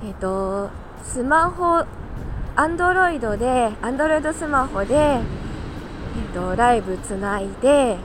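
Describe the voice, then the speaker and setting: A woman chatting casually on her own like a morning podcast host, talkative and quick to laugh. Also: sits high, expressive, noisy background. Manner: chatty, laughs easily while talking. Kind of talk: casual solo morning chat.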